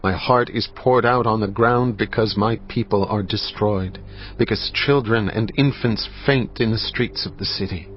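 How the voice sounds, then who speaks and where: A man narrating scripture in a dramatized style, with a solemn, measured, grave delivery. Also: fairly low pitch, a little hiss or hum. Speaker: Bible narrator. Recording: slightly muffled, faint music behind.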